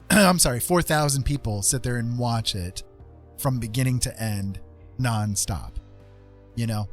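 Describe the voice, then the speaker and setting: Man, casual streamer chat, mid pitch, rambling and emphatic, music playing behind. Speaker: streamer host. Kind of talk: casual livestream chat, explaining.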